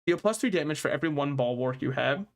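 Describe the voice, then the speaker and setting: A man's voice: a little high, lively intonation, fast, creaky. Joking young male game streamer. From United States. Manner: joking, rambling, self-mocking. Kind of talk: casual gameplay commentary.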